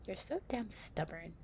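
A woman playing a possessive young gang-leader girlfriend, tough but tender, in an intimate, soft-spoken audio roleplay. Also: medium pitch, vocal fry, a little hiss or hum, full character voice.

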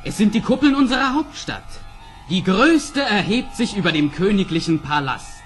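Fairy-tale prince: youthful, high, very animated, earnest and courtly, faint music behind. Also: male voice, normal speed. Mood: tender pride. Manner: earnest, formal. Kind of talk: dramatized fairy-tale audio play.

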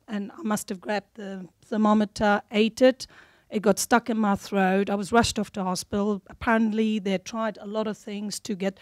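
A middle-aged woman from Germany with a German accent, telling a personal story at a live seminar, speaking into a handheld mic in halting sentences with frequent restarts. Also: medium pitch, darkish tone, no echo. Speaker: seminar volunteer.

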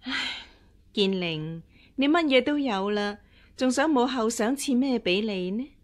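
Regal scheming royal mother, Hong Kong Cantonese radio drama; dismissive, composed, higher-pitched. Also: animated, measured pace. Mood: indulgent, probing. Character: haughty, scheming empress dowager, mother of a princess.

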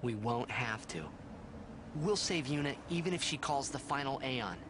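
An actor playing an earnest, determined young hero in a video game cutscene. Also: male voice, medium pitch, expressive, moderate pace, noisy background, lightly acted.

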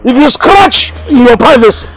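An exasperated, stubborn Igbo village father in a Nigerian film drama. His middle-aged voice sits high and swings widely, with a Nigerian Igbo accent and emphatic, repeated phrases. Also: animated, moderate pace, music in the background, rough recording. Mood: weary, exasperated. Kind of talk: Nollywood film drama acting.

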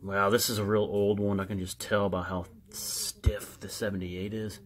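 A man giving casual, unscripted show-and-tell commentary as a collector, halting and muttering to himself as he reads aloud. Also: fairly low pitch, level tone. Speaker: record collector showing his records.

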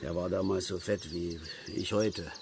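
An actor playing a blunt, rambling, know-it-all older East German angler in a naturalistic radio drama. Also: male voice, sits low, fairly even intonation, a little slow, over loud music. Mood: grumbling, nostalgic. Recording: outdoor ambience with birds, clear background noise. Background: birdsong and lakeside nature ambience.

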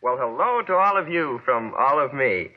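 A man's voice: mid-range voice, very animated, normal speed. A young man reading a scripted news roundup as a guest correspondent on 1940s radio. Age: young adult. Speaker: guest correspondent, former child quiz contestant. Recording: dull lo-fi vintage 1940s radio broadcast.

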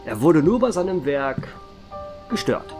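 A man playing an ancient vampire barkeeper in a horror radio play. He tells his dark past calmly and dryly, his voice rising and falling a lot. Background music runs clearly under him. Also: on the high side, unhurried. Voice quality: calm, dry, wry storytelling. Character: ancient male vampire barkeeper, calm and dry. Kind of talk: amateur horror audio drama. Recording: music behind the voice.

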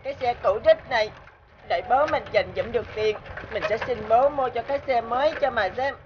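A woman's voice: a little high, brisk, muffled recording, background noise, lightly acted. A woman voicing a polite, earnest and slightly wary young schoolboy in a cartoon. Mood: weary grumbling. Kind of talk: cartoon voice acting.

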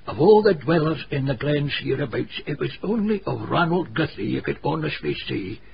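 A muffled radio drama recording with some hiss. an old Scottish cobbler with a gravelly creak, grave and pedantic, who relishes village gossip in thick dialect. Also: male voice, mid-range voice, very animated, moderate pace. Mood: bitter, wry. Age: older. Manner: wordy, dialect-rich, pedantic storytelling. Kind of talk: radio drama dialogue, storytelling.

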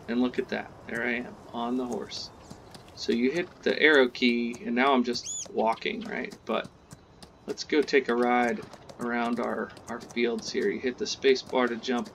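A man hosting a casual gameplay tutorial, chatting in a relaxed way as he plays, with game sounds faintly behind. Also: mid-range voice, creaky, a little hiss or hum. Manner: relaxed, chatty, talks while playing. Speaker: gaming channel host.

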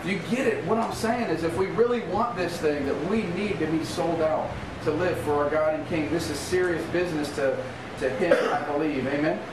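A man's voice: a little high, moderate pace, few pauses, a loud crowd. A middle-aged American man preaching an informal sermon as a pastor, emphatic and conversational, checking in with rhetorical questions. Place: small church room in a converted bar, indoors. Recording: roomy, distant mic, noisy background.

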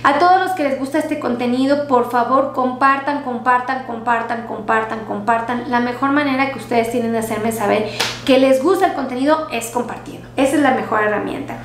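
A woman's voice: a little high, fairly quick. A woman doctor gives health advice in a chatty way, repeating herself and using diminutives, recorded on a headset mic in a small clinic room. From Mexico. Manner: warm, repetitive, uses diminutives. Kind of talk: casual health talk to viewers. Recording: echoey room with faint hum.

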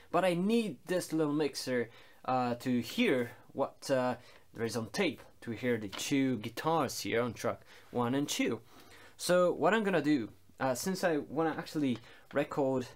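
Halting man explaining his studio setup. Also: mid-range voice. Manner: halting, hesitant delivery with frequent long filler pauses. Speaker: home-studio musician presenting his recording process. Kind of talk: informal explainer voice-over.

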